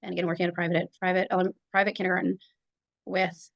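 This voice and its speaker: Fast-talking woman with a gravelly voice. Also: fairly low pitch, little pitch movement, dry recording, highs cut off. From native English speaker. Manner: rambling, many "like" fillers. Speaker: kindergarten teacher. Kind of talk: casual mentor meeting chat.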